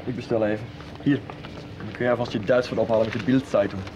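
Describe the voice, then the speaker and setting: Bert, an actor playing a friendly young guide. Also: male voice, medium pitch, fast, muffled recording, natural voice. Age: young adult. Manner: friendly, relaxed, guiding. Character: Bert, a young Dutchman working in Berlin, helpful and easygoing. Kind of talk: 1990s radio soap acting. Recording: street noise under the voice. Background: city traffic and street sounds.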